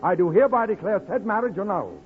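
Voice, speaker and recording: A man's voice: high-pitched, animated, fast, phone-quality sound, soft background music. A stern judge in a vintage radio comedy. He declaims formally in a raised voice on a thin, band-limited recording. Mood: official, stern. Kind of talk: 1940s radio acting.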